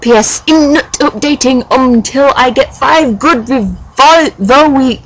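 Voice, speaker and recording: A woman voicing an overdramatic, defensive teenage girl author with shrill, whiny, exaggerated emphasis. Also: slow pace, slight background noise, low-quality recording. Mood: defensive, petulant.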